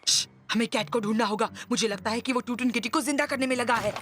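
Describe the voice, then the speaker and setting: An actor in a Hindi cartoon dub playing an excitable, determined young boy hero, urgent and earnest. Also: mid-range voice, fast talker, soft background music, natural voice. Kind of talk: Hindi-dubbed cartoon acting.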